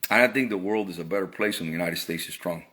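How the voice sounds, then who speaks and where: A man's voice: low-pitched, fast talker, sharp tone. A middle-aged man from Cuba talking through his opinions in a casual monologue, earnest and often repeating words as he restarts phrases. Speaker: vlogger.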